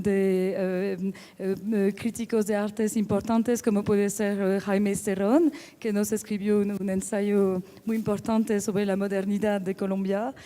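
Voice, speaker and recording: A woman's voice: mid-range voice, warm tone. A woman with a French accent speaking Spanish as a second language, presenting a book as its editor with frequent hesitations and restarts. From France. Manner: hesitant, many fillers and restarts.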